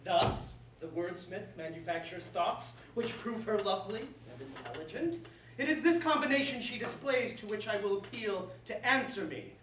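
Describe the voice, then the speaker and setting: A woman's voice: on the low side, lightly acted. A woman playing an oily, menacing lord in a staged verse comedy, drawing out taunting lines theatrically. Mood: oily, courtly. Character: menacing male soldier lord, mocking, oily and courtly. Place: roomy indoor space, far from the mic. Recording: distant echoey room with faint noise.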